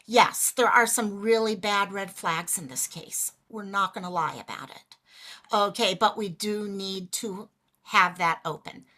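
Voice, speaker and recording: A woman hosting a casual livestream, chatty and greeting viewers by name, a little hoarse as she is losing her voice. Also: medium pitch, unhurried, pushed and bright. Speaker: livestream host.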